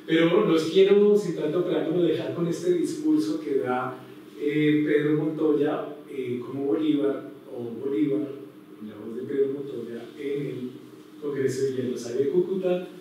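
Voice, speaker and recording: A man's voice: on the high side, moderate pace, warm tone, far from the mic, a little hiss or hum. Man lecturing in an echoey hall. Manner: thoughtful, full of fillers and restarts. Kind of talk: public lecture. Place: hall with strong echo.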